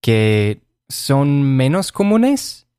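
An agreeable co-host keeps the chat moving with questions, speaking at a slow, easy pace. The voice is smooth and clear, and the recording is dry and close. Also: mid-range voice, very animated, warm tone, no echo. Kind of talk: casual conversational chat.

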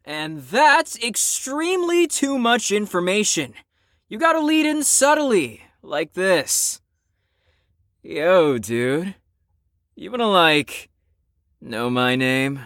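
Smug teen rebel, voiced by a woman: slow, animated drawl, slang-heavy teasing, close dry sound. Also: on the low side, no echo, full character voice. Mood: cocky, teasing. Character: cocky, slangy, smirking teenage show-off named Storm. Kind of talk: audio drama acting.

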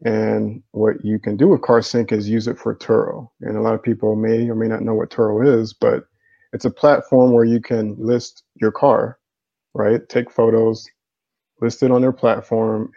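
A man's voice: on the low side, flat delivery, moderate pace, gravelly, mellow, lo-fi sound. A man hosting a live interview stream over a video call, casual and upbeat, with the odd um and man.

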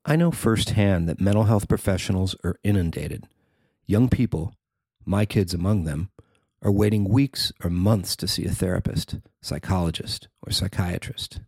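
A man's voice: low-pitched, moderate pace, darkish tone, husky, dry recording. A middle-aged man reading a scripted personal feature as its narrator. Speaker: writer and narrator.

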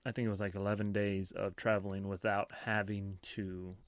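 A man talks as a podcast co-host in an easy conversational style, passing on practical advice. His voice is a bit low in pitch, recorded dry with little sense of the room. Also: little pitch movement, moderate pace, no room sound. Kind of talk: casual chat, sharing travel tips.

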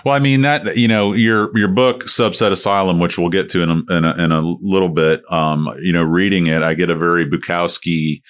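A man's voice: low-pitched, fairly even intonation, a little fast, hardly any pauses. A middle-aged man hosting a casual interview, asking long rambling questions full of restarts and fillers. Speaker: podcast host.

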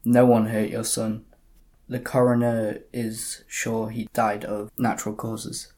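A man playing a calm, sober detective inspector in an audio drama, speaking in a measured, formal way. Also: on the low side. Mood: calm, consoling. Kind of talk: community audio drama narration and dialogue.

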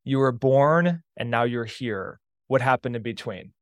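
A man hosting a real estate podcast, introducing and interviewing a guest in an upbeat, energetic way. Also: medium pitch, measured pace, dry recording. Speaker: podcast host.

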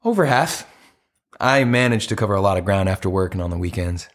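Casting: hopeful, awkward romantic man for comedic audio play; lively intonation, polite and persistent. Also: low voice, fairly quick. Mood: sheepish, hopeful. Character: earnest, awkward, hopeful man searching for his soulmate.

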